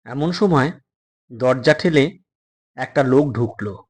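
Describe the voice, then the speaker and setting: A man narrating a suspense story as an audiobook, in a measured, expressive and dramatic way. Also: medium pitch.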